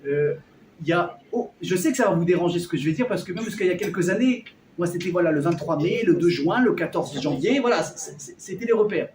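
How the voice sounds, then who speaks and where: A man giving a lively Torah class to a live audience, joking and quizzing the listeners as he teaches. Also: sits high, fast talker, slight background noise. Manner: animated, jokey, quizzes the audience. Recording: echoey room, mic at a distance.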